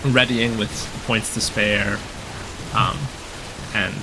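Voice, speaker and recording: A man calmly explaining a game strategy while he plays, a little hoarse from a cold, with many filler ums. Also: fairly low pitch, slow pace, noisy background. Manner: relaxed, explanatory, frequent ums. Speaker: gameplay guide commentator. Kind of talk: casual gameplay commentary.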